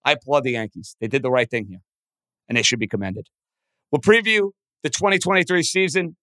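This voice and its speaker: A man with a booming voice hosting a sports podcast, excitable and emphatic in short punchy phrases. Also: a little fast, dry recording. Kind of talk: energetic sports commentary and interview.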